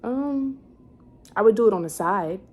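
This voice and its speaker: A woman's voice: medium pitch, expressive, a little slow, darkish tone. A woman in her twenties chatting casually as a vlogger, rambling as she thinks aloud and corrects herself. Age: 20s. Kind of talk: casual chat, answering questions to camera. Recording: clearly noisy room ambience.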